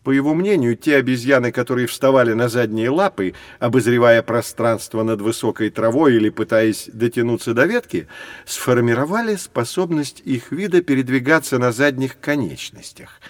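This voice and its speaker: A man acting as a learned guide explains things to children in an educational audio drama. His mid-pitched voice is measured and patient, with expressive phrasing and a dry, studio-close sound. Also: no echo, close to an everyday voice. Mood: explaining. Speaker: narrator and teacher. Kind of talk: scripted educational narration for children.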